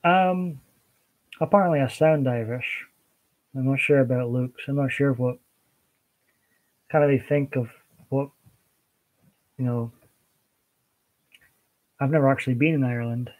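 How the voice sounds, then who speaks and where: A Scottish man hosting a casual livestream, chatting with viewers and answering their comments in a relaxed, meandering way. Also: medium pitch, unhurried, long pauses, low-quality recording. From Scotland. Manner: chatty, relaxed, with hesitant restarts. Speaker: livestream host.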